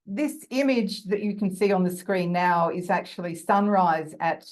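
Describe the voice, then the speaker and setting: A woman with a croaky voice giving an academic talk over a video call, thoughtful and full of fillers and restarts. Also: on the low side, normal speed. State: croaky from bronchitis. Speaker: guest lecturer.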